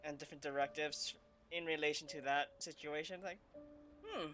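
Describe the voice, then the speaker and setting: A man's voice: on the high side, steady tone, normal speed, music in the background. Man, gameplay streamer, casual, hesitant, rambling. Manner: rambling, hesitant, many fillers. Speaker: streamer playing a game.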